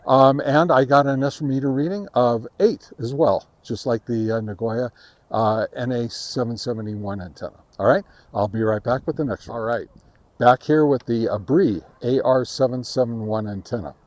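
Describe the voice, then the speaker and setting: A man giving a casual, halting field-test commentary as host of a gear review, speaking outdoors. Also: mid-range voice, darkish tone, dry recording. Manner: halting, hesitant delivery. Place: outdoors in a park.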